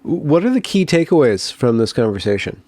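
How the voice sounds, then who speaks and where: A man hosting an interview over a video call, asking questions in a measured way while searching for words. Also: mid-range voice, sing-song, darkish tone, no room sound. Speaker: host.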